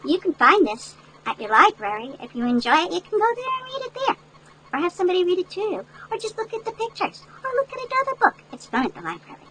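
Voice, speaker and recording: An older woman reading a picture book aloud to children in an animated, folksy way, with chatty asides that explain things. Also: very high-pitched, fast talker, slight background noise, low-quality recording. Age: older, great-grandmother. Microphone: headset.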